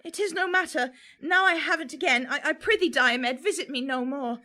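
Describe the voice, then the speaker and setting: Actress as fickle, teasing young Trojan woman for verse drama; high, bright, declamatory. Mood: torn, teasing. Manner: slow, declamatory, wavering. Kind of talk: theatrical Shakespearean verse acting.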